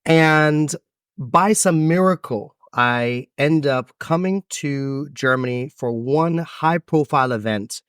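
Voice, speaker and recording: A man in his thirties with an American accent, a guest telling his life story with expansive, dramatic pauses. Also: on the high side, fairly bright tone, smooth, no echo, clean studio sound. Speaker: podcast guest, event MC. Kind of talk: storytelling interview answers.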